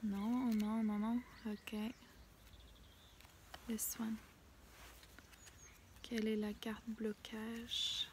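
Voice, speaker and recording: A woman with a head cold doing a casual spiritual card reading outdoors. She pauses often with fillers and sometimes drops to a hushed near-whisper. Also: a little high, level tone, a little hiss or hum. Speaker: oracle card reader. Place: outdoors in a small mossy spot with violets.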